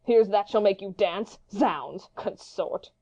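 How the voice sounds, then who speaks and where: Hot-headed young wit played by a woman, mid pitch, theatrical mockery, classical stage verse, slightly dull recording. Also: slow, mellow. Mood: mocking, defiant. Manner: taunting, theatrical, verbose. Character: hot-headed, mocking, witty young male swordsman. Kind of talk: Shakespeare audiobook dramatic reading.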